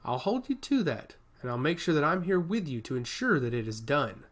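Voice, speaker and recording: A man performs a noble island prince from a fantasy tale. The voice is fairly high and moves freely. He sounds earnest and reassuring, a humble protector of his people. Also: very animated, a little fast. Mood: grateful.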